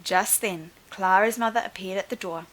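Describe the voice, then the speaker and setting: A woman teacher reading a storybook aloud to young children in an expressive, instructive way. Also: medium pitch, moderate pace. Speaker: primary school teacher.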